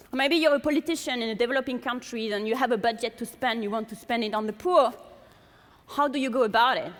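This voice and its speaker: A woman from France with a strong French accent, giving a public lecture to an audience in a large hall and posing many rhetorical questions. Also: high voice. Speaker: speaker giving a talk to an audience. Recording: long hall reverb.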